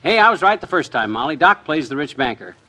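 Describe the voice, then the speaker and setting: An actor playing a boastful, blustering husband in a folksy, excitable, self-important way, in a 1950s radio comedy. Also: male voice, on the high side, fast, slight background noise, heavily acted. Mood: boastful, excited. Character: boastful, blustering husband dressed as a policeman for amateur sketch.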